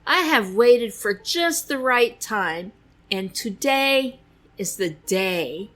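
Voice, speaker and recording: A woman voicing a kind male farmer in a children's storybook, gentle and reassuring. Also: on the high side, lively intonation, slow pace, faint music behind. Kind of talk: children's storybook read-aloud.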